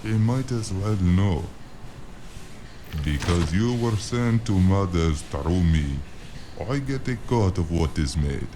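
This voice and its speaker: A man playing an amused, condescending underworld broker in a noir audio drama, with an unhurried, patronizing delivery. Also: deep voice, expressive. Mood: sly reassurance. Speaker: voice actor. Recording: clearly noisy with ambient sound behind.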